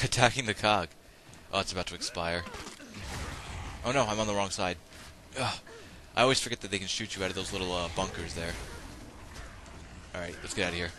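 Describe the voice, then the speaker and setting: A lowish, forceful man's voice, a little raspy and hoarse from a cold, talks his way through an online match. He rambles and restarts his thoughts, then bursts out at whatever happens on screen. A faint hum of background noise sits under him. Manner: rambling, self-interrupting, bursts of excitement at game action. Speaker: gameplay commentator. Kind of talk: casual running commentary over online gameplay.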